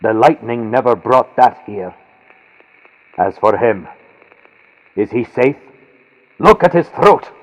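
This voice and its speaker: A man's voice: medium pitch, expressive, slow pace, long pauses, voice pushed up. A man voicing a shaken, bewildered soldier full of superstitious dread, in a gothic audiobook with a vintage radio sound. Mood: uneasy dread. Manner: shuddering, uneasy. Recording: lo-fi built-in computer mic, hissy vintage-radio sound.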